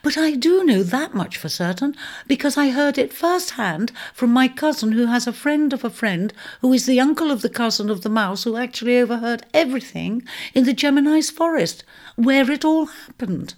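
Storybook mouse messenger, male, tiny and excitable, played by a woman narrator. High, quick chatter. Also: lightly acted. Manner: chattering, shrill and breathless.

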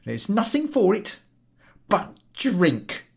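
A man's voice: sits high, huge pitch swings, slow, gravelly, pitched above the performer's own voice, heavily acted. A man voicing an over-zealous, easily flustered railway ticket collector in a storybook reading, officious and whining. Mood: aggrieved complaint. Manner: whining, officious, stammering when cornered.

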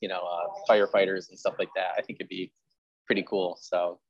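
Low-voiced man in rambling, casual chat. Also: flat delivery, fairly quick, lo-fi sound. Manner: rambling, peppered with "like" and "you know". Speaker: interviewer and host; history teacher. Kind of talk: casual chat about genre fiction writing.

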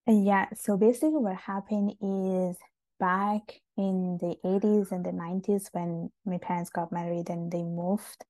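A woman's voice: lowish voice, steady tone, a little slow, mellow, no room sound. A young adult woman from Italy, chatting as a podcast guest with many fillers. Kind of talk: casual interview conversation. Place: home in Montreal.

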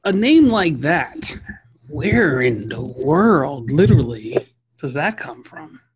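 A man's voice: fairly high pitch, big pitch swings, slow, crowd noise. A man with an American accent hosting a podcast in a playful, effusive, rambling way, joking with his guest. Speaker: podcast host. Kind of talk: casual interview chat.

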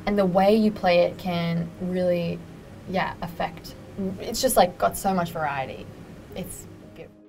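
A woman's voice: lowish voice, unhurried, vocal fry, a little mellow, a little hiss or hum, poor audio. A young woman, chatting in an interview about her creative work, chatty and self-deprecating, with many fillers. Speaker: interviewee, musician and writer. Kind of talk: casual interview answers. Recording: music playing behind.

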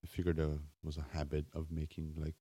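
A man hosting a solo podcast off the cuff in a raspy, just-woken voice, halting and searching for words with wry self-deprecation. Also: a bass voice, monotone, mellow, no room sound. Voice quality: raspy morning voice. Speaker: podcast host.

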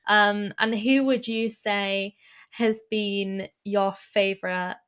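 Flat-toned woman host, thoughtful. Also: medium pitch, clear, resonant tone. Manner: thoughtful, fills pauses with um and sort of. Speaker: interviewer, host. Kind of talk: conversational interview.

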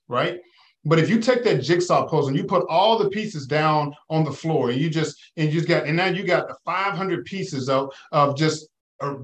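This is a man with a high voice answering listener questions as a financial advisor. He works in rhetorical questions and uses analogies. He keeps checking that the listener is with him, the way a preacher would. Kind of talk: call-in advice talk.

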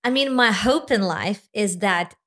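A woman's voice: mid-range voice, a little slow, fairly bright tone. A young pregnant woman from Lithuania, a podcast guest chatting casually, laughing often and trailing off with fillers. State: heavily pregnant. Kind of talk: casual interview chat.

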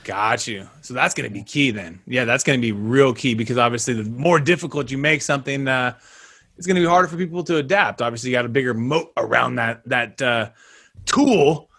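A man hosting a casual podcast interview over a video call, energetic and slangy, punching words with sudden loud bursts. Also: medium pitch, brisk. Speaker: podcast host.

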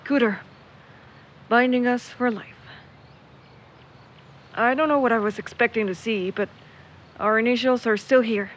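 A woman's voice: on the high side, unhurried, long pauses. A young woman playing a stubborn, grumbling searcher in an audio drama, candid and wry as she thinks aloud. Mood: wistful, amused. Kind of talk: audio drama monologue into a recorder. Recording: muffled, noisy outdoor-style ambience.

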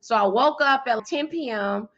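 A woman in her thirties or forties, guest in an interview, talking energetically and emphatically with a loud, powerful voice and frequent laughter. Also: fairly high pitch, normal speed, dull audio. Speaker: guest, PR firm founder and speaker.

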